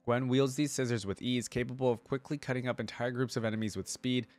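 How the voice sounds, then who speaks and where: A man hosting a reaction video, reading aloud and commenting informally as he thinks out loud for viewers. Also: mid-range voice, steady tone, fast, soft background music.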